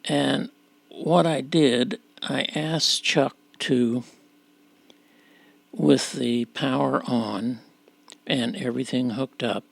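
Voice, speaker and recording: A man giving an unscripted, halting, plain-spoken walkthrough of a repair job as a presenter. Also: medium pitch, fairly even intonation, gravelly, no echo.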